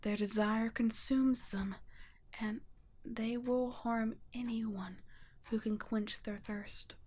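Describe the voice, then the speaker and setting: Woman voicing a whispering, slow, tender vampire guardian. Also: on the high side, fairly even intonation. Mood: somber warning. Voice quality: soft, breathy half-whisper. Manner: slow, hushed, halting, tender. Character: gentle, guilt-ridden female vampire, protective guardian. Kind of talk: ASMR roleplay, scripted intimate monologue.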